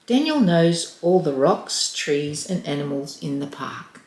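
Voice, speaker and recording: Woman storyteller, slow bedtime read-aloud, lowish voice, echoey room. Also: sing-song, slight background noise. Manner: gentle, slow and clear. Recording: roomy echo.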